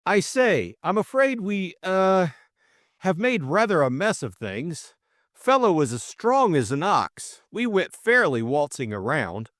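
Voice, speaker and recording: A man's voice: sits high, sing-song, a little slow, fairly bright tone, no echo, clean studio sound, voice pushed up, full character voice. A man voicing an eager, well-bred young man in an audiobook, boyish and breezy. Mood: apologetic. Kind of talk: audiobook character acting.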